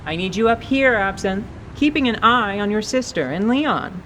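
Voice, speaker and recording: Raised-pitch, wry warrior woman acted by a man. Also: expressive, normal speed, fairly bright tone, slight background noise, low-quality recording. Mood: commanding. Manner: commanding, dryly teasing. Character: wry, confident warrior woman leading adventurers. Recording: rumbling background ambience.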